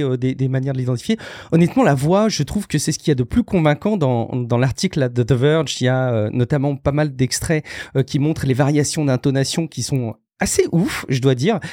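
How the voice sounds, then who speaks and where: A man with a slightly high voice hosts a casual tech podcast. He sounds enthusiastic and talks straight to his listeners. The recording is dry and close. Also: darkish tone, dry recording. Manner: enthusiastic, addresses listeners directly. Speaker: podcast host.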